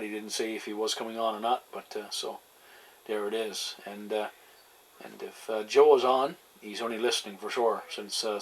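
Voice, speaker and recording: A man's voice: lowish voice, breathy edge. A man chatting casually and hesitantly as a CB radio operator, making call-outs on air. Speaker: amateur CB radio operator and video host. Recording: very noisy, loud radio static. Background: CB radio noise.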